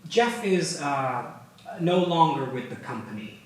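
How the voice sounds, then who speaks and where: Man acting excitable tech founder, high animated voice, showy sardonic pitchman, staged reading. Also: a little slow. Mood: flustered. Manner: showy, sardonic, flustered asides. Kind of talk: theatrical monologue, staged reading. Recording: very echoey room, distant mic.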